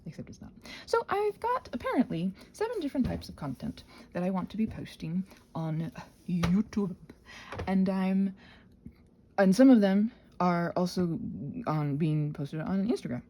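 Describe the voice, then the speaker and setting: A woman's voice: lowish voice, lively intonation, moderate pace, dark tone, a little hiss or hum. A woman rambling casually and unscripted, scattered and self-deprecating, often trailing off, sounding tired and congested with a sinus infection. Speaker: solo host of a rambling audio channel.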